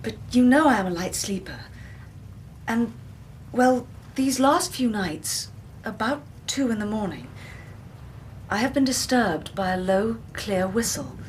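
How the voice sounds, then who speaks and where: An actress playing an anxious, delicate young English gentlewoman in a radio drama, hesitant and tremulous. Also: on the high side, lively intonation, unhurried, a little hiss or hum. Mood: uneasy, affectionate.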